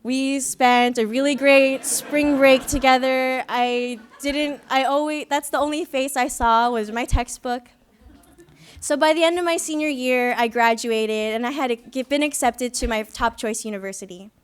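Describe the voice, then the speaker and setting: A woman's voice: sits high, fairly even intonation, unhurried, clear, resonant tone. Young woman giving earnest personal testimony. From Philippines. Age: young adult. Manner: earnest, reflective storytelling with light self-deprecating humor. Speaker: student activist speaker. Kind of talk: personal testimony speech at a conference. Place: conference hall.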